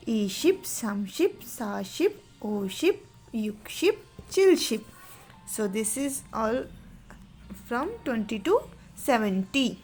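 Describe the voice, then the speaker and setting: A woman's voice: fairly high pitch, a little mellow. A woman teaching a beginner language lesson, going patiently through counting drills, repeating each word and correcting her own slips. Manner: patient, repeats words slowly, corrects herself.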